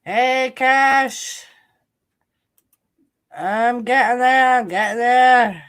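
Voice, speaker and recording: A woman's voice: a little high, drawn-out words, a bit bright, clear, resonant tone. A woman with a stuffy nose hosting a live stream and greeting viewers in chat. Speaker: stream host.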